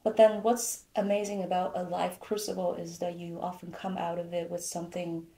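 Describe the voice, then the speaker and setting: A woman in her thirties co-hosting a podcast, pregnant, talking in a thoughtful, measured way. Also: lowish voice, little pitch movement, vocal fry, warm tone. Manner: thoughtful, measured, switches to Mandarin. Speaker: podcast co-host. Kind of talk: casual podcast conversation. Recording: echoey room.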